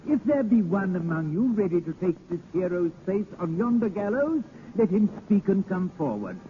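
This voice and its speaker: A man's voice: high-pitched, very animated, normal speed. A man playing a sly, mocking French king in a 1930s radio drama, with wry, teasing hesitations, on a tinny, noisy old recording. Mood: excited, proclaiming.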